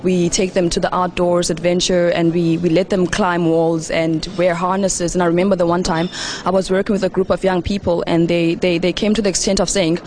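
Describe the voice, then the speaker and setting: Low-voiced young woman. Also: level tone, fairly quick, darkish tone, slight background noise. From South Africa. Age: young adult. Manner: frequent ums and restarts, laughs between thoughts. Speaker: youth program founder. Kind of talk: interview remarks at a youth forum.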